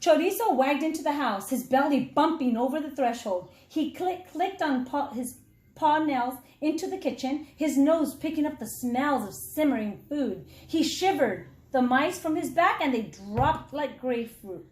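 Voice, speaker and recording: A woman's voice: high voice, moderate pace. A woman reading a children's story aloud as a teacher, speaking expressively and putting questions straight to young listeners. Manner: expressive, addresses children directly. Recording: echoey room sound.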